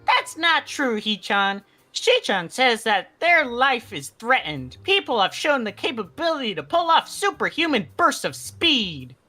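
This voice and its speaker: A woman's voice: on the high side, big pitch swings, a little slow, few pauses, bright, forceful tone, faint music behind. A blunt, sweary woman in casual banter with friends while playing a game. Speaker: streamer voicing game lines with friends. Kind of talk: casual banter and read-aloud game dialogue.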